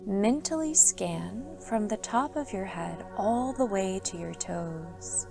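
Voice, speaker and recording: A woman yoga instructor guides a sleep meditation in a soft, soothing voice, unhurried and drawn out with calm pauses. Her tone carries a gravelly fry at the ends of phrases, and gentle music plays behind her. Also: medium pitch. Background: soft background music.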